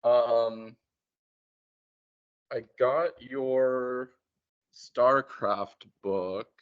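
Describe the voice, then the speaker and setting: A man in casual conversation, talking in a hesitant, halting way and often repeating words. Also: medium pitch, smooth. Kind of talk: casual chat. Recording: muffled, dull lo-fi sound.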